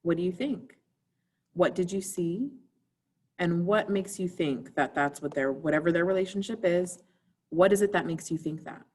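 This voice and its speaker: A woman's voice: on the low side, normal speed, a little mellow, husky. A woman theater teacher giving an informal recorded video lesson, instructing students directly and putting questions to them.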